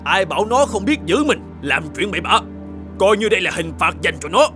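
A man's voice: high voice, very animated, brisk. An actor playing a stern, angry middle-aged lord and father who scolds and blusters, in fairy-tale dubbing. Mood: scolding, resolute. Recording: music behind the voice.

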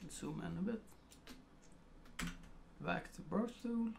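Swedish accent, man, slow hesitant delivery, heavy vocal fry, desk mic, faint background noise. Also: high voice, a little mellow. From Sweden. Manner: thinks aloud with many ums and uhs. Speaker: photo editing tutor. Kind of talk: casual screen-recorded tutorial.

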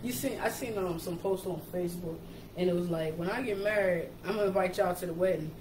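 Casual co-host: New York woman, thirties, animated and slangy, recorded in an echoey kitchen with background noise. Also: fairly low pitch. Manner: animated, slangy, quick asides. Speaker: page admin and co-host. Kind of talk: casual chat on a livestream. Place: indoor kitchen. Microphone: camera or laptop mic.

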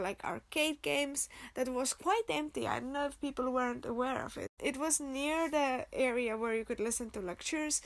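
A young Finnish-accented woman narrates her vlog in a high voice with a gravelly edge at the ends of her phrases. She talks in an easygoing, upbeat way and pads her sentences with little fillers as she goes. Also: no room sound. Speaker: vlogger. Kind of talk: casual voice-over vlog narration.

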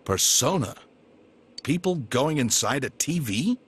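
A man's voice: mid-range voice, expressive, unhurried, fairly bright tone, husky, exaggerated voice. An actor playing a stern, protective middle-aged detective father in a video game, firm and blunt, with an American accent. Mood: angry, demanding. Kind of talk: English video game voice acting.

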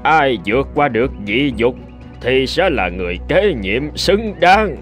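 An actor playing a calm, wise old abbot in a cartoon folk tale, speaking in a measured, teacherly manner. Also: male voice, mid-range voice. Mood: solemn. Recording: music under the voice.

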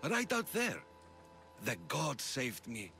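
Weary old fisherman, slow-paced. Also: mid-range voice, huge pitch swings, gravelly, sharp tone, soft background music, exaggerated voice. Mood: awed. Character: weary older fisherman turned devout servant of gods. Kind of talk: video game cutscene acting.

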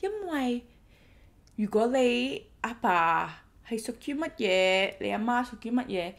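Playful woman podcaster on a video call, wide-ranging pitch, switches between English and Cantonese. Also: unhurried, vocal fry. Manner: animated, playful, jumps between languages. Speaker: podcast co-host. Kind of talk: casual chat mixing English and Cantonese. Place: home in Florida.

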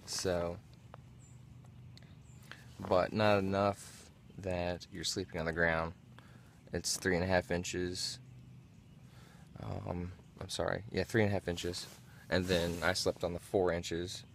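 A man in his thirties giving a casual, unscripted gear review in a low-key, halting way inside a small tent. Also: little pitch movement, vocal fry, a little hiss or hum.

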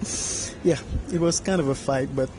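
A man's voice: medium pitch, moderate pace, mellow, a little hiss or hum, low-quality recording. A young man from Cameroon, a university student, answering fluently with lots of "you know" into a handheld mic. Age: young adult. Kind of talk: casual interview answers. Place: large bright room at a game jam. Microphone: handheld mic held by interviewer.

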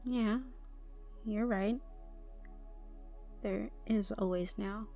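Over background music comes a troubled young tomboy goth girl, acted by a woman in an ASMR roleplay. She is vulnerable and shy, speaking at a medium pitch with hesitant phrasing and hushed asides. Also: fairly even intonation, drawn-out words. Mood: grateful, teasing. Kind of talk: intimate ASMR roleplay acting.

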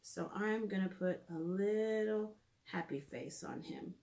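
A woman in her thirties, a children's teacher, talks gently and simply through a drawing lesson, thinking aloud, recorded in a living room by a camera a few feet away. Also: mid-range voice, a little slow. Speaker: children's art and reading teacher. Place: living room at home, indoors.

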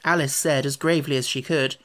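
A man's voice: mid-range voice, steady tone, brisk, a bit bright. A man reading a children's classic as an audiobook narrator, in scripted storybook style.

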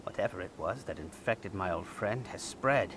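Game role: grave old master, low-pitched, solemn and measured, over clearly noisy ambience. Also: male voice, animated. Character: grave, wise old martial-arts master. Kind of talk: video game cutscene acting.

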